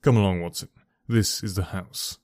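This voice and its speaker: A man reading an audiobook and voicing a calm, incisive detective who speaks in a measured, courteous, precise way. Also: sits low, no room sound, clean studio sound, voice pushed down, close to an everyday voice.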